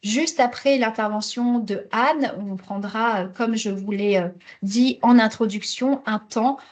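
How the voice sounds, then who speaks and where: Higher-pitched woman presenting, halting delivery. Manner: hesitant, halting delivery with repeated words. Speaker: webinar presenter for a health network. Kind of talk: semi-scripted webinar presentation with slides.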